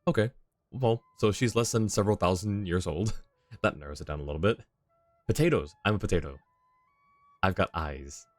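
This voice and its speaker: Low-voiced streamer guy chatting thru a game, laughs a lot, faint game music behind. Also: a little fast, long pauses, no echo. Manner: chatty, laughs often, muttering asides. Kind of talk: casual live game commentary.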